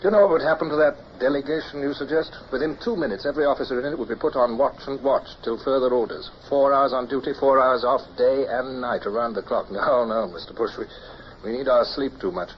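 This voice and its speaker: A man playing a dutiful, guarded naval officer in an old radio drama. His voice sits at a middling pitch and he speaks formally and with restraint. The recording is muffled, with light hiss. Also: steady tone, natural voice. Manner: clipped, formal, measured. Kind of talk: period radio drama acting with narration.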